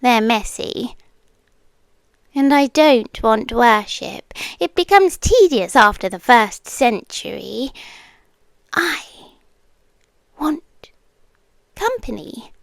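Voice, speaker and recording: Woman playing a slow, high-voiced British immortal seductress. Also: very animated, vocal fry, no echo, full character voice. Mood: teasing, imperious.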